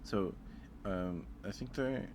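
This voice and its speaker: A soft-spoken man hosting a casual ASMR stream, chatting with viewers and musing aloud in a hesitant way. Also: on the low side, takes it slowly, background noise.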